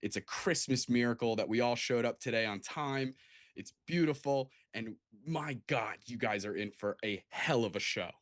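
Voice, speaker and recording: A man with an average-pitched voice hosts a casual livestream. He is effusive and enthusiastic as he handles introductions, and the sound is dry and close. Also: normal speed, fairly bright tone, no echo. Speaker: host. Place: remote video call livestream.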